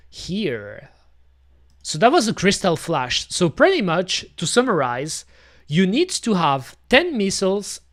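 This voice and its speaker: Belgian-accented, French-native streamer; chatty casual game commentary, very animated pitch. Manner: chatty, talks to chat, lots of "you know" and "pretty much".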